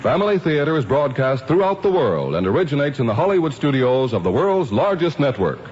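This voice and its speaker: Male American announcer, vintage radio, formal, polished, animated intonation, muffled sound, loud music behind. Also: mid-range voice, fairly quick. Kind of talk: 1950s radio announcing, scripted credits. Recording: muffled old radio recording under music.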